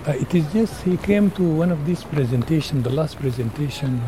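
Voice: mid-range voice, lively intonation, a little fast. Casual conversational speaker, mid-range voice, caught outdoors amid noticeable urban noise. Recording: noisy outdoor street, off-mic.

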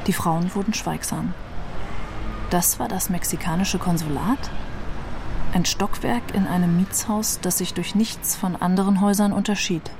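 A woman's voice: fairly low pitch, moderate pace, noisy background. A woman reading literary prose as scripted narration. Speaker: reader of literary excerpts. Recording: prominent music behind the voice. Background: music.

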